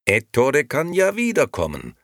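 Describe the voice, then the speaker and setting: A man's voice: fairly low pitch, expressive, fairly quick, fairly bright tone, dry recording, clean studio sound, voice pushed up. A man voicing a nervous, irritable, ambitious male innkeeper who justifies himself defensively, in an audiobook. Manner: agitated, defensive, self-justifying. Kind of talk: audiobook character voice.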